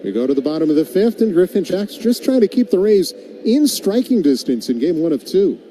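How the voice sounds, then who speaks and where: A man's voice: high voice, a little fast. A man calling live baseball play-by-play on radio, slipping into casual banter with his partner. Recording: muffled broadcast with steady background noise.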